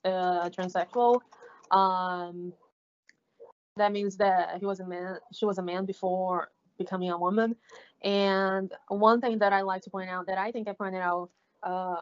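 Brazilian portuguese lady recapping episodes, lots of ums and restarts, kinda dull lo-fi sound. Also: medium pitch. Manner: halting, many fillers and restarts, laughs often. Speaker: podcast co-host. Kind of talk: casual recap chat. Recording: slightly dull lo-fi audio.

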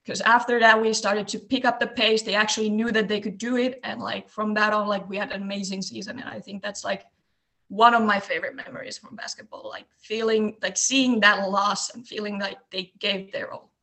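A woman in her twenties from Eastern Finland with a Finnish accent, telling stories as a guest on a podcast, relaxed and full of fillers. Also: medium pitch, fairly quick, poor audio. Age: 20s. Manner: relaxed storytelling with many fillers. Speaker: guest, basketball coach. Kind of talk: casual podcast interview.

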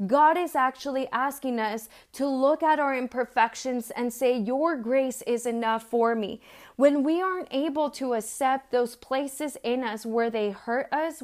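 A woman from Mexico in her thirties or forties giving an earnest, conversational sermon, telling personal stories with frequent fillers and restarts. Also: high voice. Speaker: church speaker.

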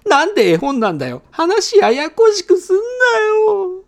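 A man's voice: very high-pitched, big pitch swings, measured pace, pitched above the performer's own voice, exaggerated voice. A man playing an exasperated younger man making blunt, indignant retorts in a radio drama.

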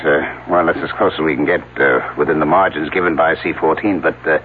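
A man's voice: very deep voice, steady tone, a little fast, narrow, tinny audio. A man playing an earnest, fussy archaeology professor with a hesitant, explaining manner in a 1970s radio drama. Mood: secretive pride. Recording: thin band-limited old radio, noisy hum.

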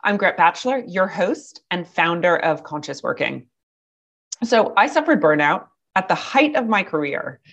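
A woman with a slightly raspy voice, hosting a podcast and introducing a guest in a calm, measured way. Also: on the low side. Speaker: podcast host. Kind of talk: scripted intro then interview.

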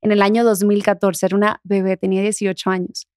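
A young adult woman from the Dominican Republic with a softened Dominican accent, talking casually as an interview guest. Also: mid-range voice, little pitch movement, fast, dry recording, studio quality. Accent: Dominican, softened toward neutral Spanish.

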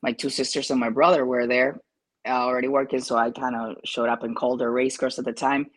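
Peruvian-accented man, halting and casual. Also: medium pitch, flat delivery. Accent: Peruvian Spanish. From Peru. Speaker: jockey, interview guest. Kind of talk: casual interview answers.

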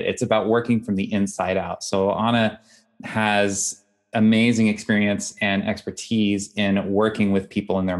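Man, podcast host, low voice, enthusiastic, gushing intro. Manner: enthusiastic, effusive praise. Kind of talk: podcast interview.

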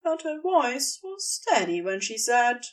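Woman storyteller, unhurried pace, highly varied intonation, scripted fiction narration, slightly distant mic. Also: sing-song. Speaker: audiobook narrator.

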